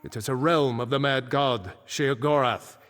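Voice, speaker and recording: An actor playing a solemn ancient archmage back from death, speaking gravely and formally in fantasy video game dialogue. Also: male voice, medium pitch, unhurried, a bit bright, lightly acted. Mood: solemn, urgent. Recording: echoing game hall with long reverb.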